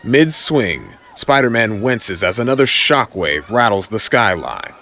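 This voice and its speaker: Slow, animated male action narrator. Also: on the low side, a little hiss or hum. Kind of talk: dramatic scripted audio comic narration.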